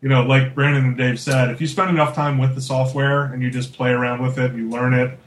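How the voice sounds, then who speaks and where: A man's voice: medium pitch, level tone, brisk. A man around thirty, a studio artist, speaking candidly and earnestly in a casual chat, with frequent fillers. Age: 30s. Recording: roomy with faint background noise.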